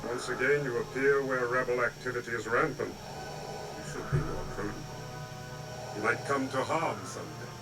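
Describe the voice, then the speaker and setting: A commanding dark lord played by a man speaks with formal, ominous menace. Music plays clearly behind him in a vintage radio drama. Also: mid-range voice, moderate pace, far from the mic, natural voice, poor audio. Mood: cold menace. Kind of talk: 1980s radio drama acting.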